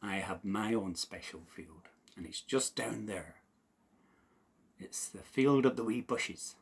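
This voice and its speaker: A man doing an indignant gravelly little leprechaun. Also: lowish voice, takes it slowly, exaggerated voice. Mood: gleeful. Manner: scolding, raised and blustery. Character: cheeky indignant little male leprechaun shoemaker.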